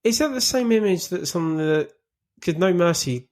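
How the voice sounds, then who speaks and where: A Welsh man co-hosting a podcast, chiming in with quick questions. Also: sits high, lively intonation, darkish tone. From Wales. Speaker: podcast co-host. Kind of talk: casual chat.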